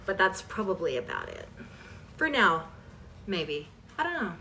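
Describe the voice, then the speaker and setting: A woman's voice: lowish voice, wildly swooping pitch, unhurried, gravelly, bright, forceful tone. Rambling woman, a bit distant. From Canada. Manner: rambling, self-deprecating, trailing asides. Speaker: host of a fan voice-acting channel. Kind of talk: casual question-and-answer chat. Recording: distant mic with faint background noise.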